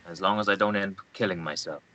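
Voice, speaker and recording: An earnest, brooding local played by a man at a script table read. His voice sits very low yet has a bright, forceful edge. He comes across as loyal and serious. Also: fast talker. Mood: dry, tender.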